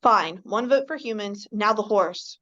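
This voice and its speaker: A woman's voice: medium pitch, a little slow, fairly bright tone, natural voice. A woman voicing a bossy, scheming baboon who runs an animal council in a radio drama table read. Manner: pushy and commanding. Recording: dull lo-fi mic.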